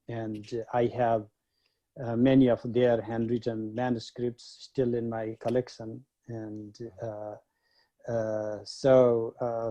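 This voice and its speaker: A man from Nepal, a guest scholar, recalling his upbringing in an unhurried way with many pauses. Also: medium pitch, dark tone, highs cut off. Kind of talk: reflective interview talk.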